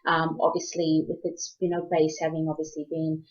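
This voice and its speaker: A woman's voice: low-pitched, completely flat delivery, normal speed, a little mellow, muffled recording. A woman from South Africa hosting an interview, talking casually with lots of "um" and "you know" and restarting her sentences. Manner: rambling, many fillers and restarts. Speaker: host.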